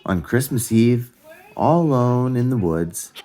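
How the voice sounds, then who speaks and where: A man reading a children's storybook aloud as a storyteller, expressive and deliberate, with the odd stumble. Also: medium pitch.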